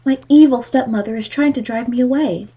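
A woman's voice: fairly high pitch, a little fast, mellow, breathy edge. A woman playing an innocent young girl, the merchant's daughter in a fairy tale audio drama. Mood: plaintive.

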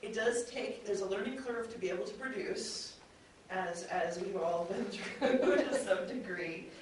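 A woman's voice: mid-range voice, a little slow. A woman moderating a convention panel and talking informally about making audio drama. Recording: very echoey room, distant mic, clear noise.

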